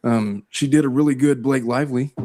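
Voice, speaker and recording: A man's voice: medium pitch, a little fast, warm tone, dry recording. A man who works as a lawyer, chatting casually and joking on a livestream. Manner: joking, laughs often. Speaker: guest lawyer.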